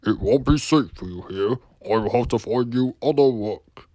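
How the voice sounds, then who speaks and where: A firm, stern railway boss speaking in a mellow, darker voice at an ordinary pitch, as a male storyteller puts him on. He sounds strict and sure of himself, like a figure from a children's storybook. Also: moderate pace, dry recording, clean studio sound, close to an everyday voice. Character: stern, cross railway boss, middle-aged man. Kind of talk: storybook character voice.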